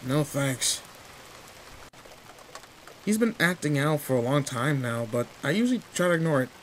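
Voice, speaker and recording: A man's voice: on the high side, normal speed, slight background noise, natural voice, poor audio. A man playing a tall, protective, secretly sweet teenage delinquent in an intimate roleplay audio drama, talking in casual, teasing slang. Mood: candid, bitter. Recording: steady rain ambience behind voice. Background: rain in a forest.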